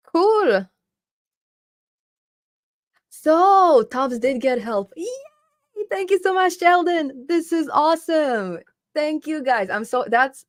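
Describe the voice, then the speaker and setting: A woman's voice: fairly high pitch, no room sound, studio quality. A young woman in her twenties hosting a live stream, chatting casually and upbeat as she reads out viewer comments, laughing often.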